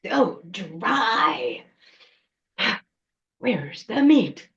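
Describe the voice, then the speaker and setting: Woman voicing a hungry, drawn-out troll witch. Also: mid-range voice, sing-song, fairly bright tone, lo-fi sound, full character voice. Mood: hungry, complaining. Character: hungry female troll witch, gloating, gnarly monster.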